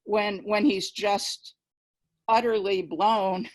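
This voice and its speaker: A woman's voice: medium pitch, fairly even intonation, slow pace. A woman speaking emphatically in an informal literary discussion on a video call.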